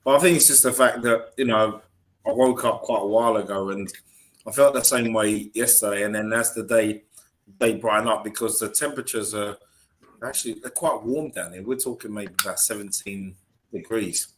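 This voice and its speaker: A man's voice: fairly low pitch, moderate pace, fairly bright tone. A man co-hosting a football podcast, chatting casually in an easygoing, slightly hesitant conversational way. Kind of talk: casual chat.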